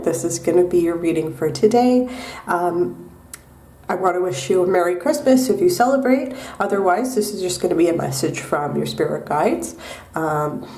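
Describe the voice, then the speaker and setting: A young woman giving a tarot reading in a soft, unhurried way with many pauses and ums, her voice nasal from a cold. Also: on the low side, far from the mic. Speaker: tarot reader. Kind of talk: pick-a-card tarot reading. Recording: echoey room, mic set back.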